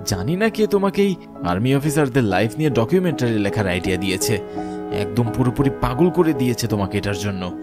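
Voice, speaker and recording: Casting: male actor as young cartoon hero, mid-pitched, very lively delivery, music underneath. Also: quick pace, natural voice. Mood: reassuring. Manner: expressive, dramatic. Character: young man, animated romantic partner or helpful rescuer. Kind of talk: animated horror story voice acting.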